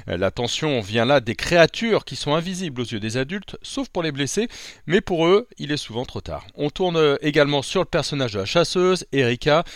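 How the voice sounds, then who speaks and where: A man's voice: a little high, expressive, moderate pace, no room sound, clean studio sound. A man presenting a scripted podcast review segment, upbeat, with light asides and some hesitation. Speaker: podcast columnist.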